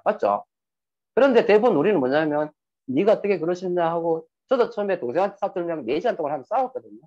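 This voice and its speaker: Lively husky man lecturing. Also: on the high side. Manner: lively, rhetorical questions, acts out quoted dialogue. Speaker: speaker giving a talk on sales and emotions. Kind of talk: lecture to an audience. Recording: dull lo-fi recording.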